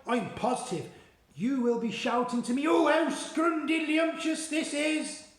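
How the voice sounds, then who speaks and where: A man voicing a big kindly male giant who talks in playful jumbled grammar, coaxing and gentle. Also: extremely high pitch, animated, normal speed, echoey room, pitched above the performer's own voice.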